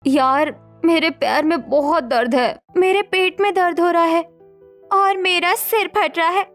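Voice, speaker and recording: A woman voicing a freedom-loving, scheming young wife in cartoon story dialogue, in a chatty, expressive way. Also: extremely high pitch, a little slow, faint music behind, full character voice. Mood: feigned pain.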